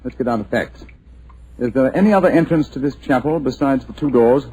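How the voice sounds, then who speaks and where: An actor playing a calm, clever detective who speaks in a measured and authoritative way. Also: male voice, medium pitch, a little hiss or hum, close to an everyday voice, low-quality recording.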